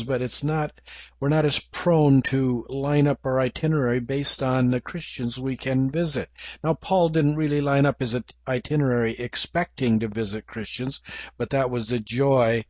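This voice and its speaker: An older man with an English accent, preaching a measured, reflective Bible teaching with frequent pauses. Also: mid-range voice, darkish tone, dry recording.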